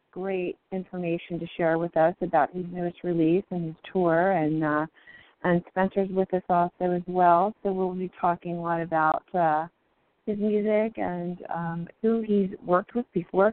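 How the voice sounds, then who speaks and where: A woman hosting a live indie music show, raspy from the flu, talking haltingly with many ums. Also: lowish voice. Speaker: radio show host. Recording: tinny phone-like line.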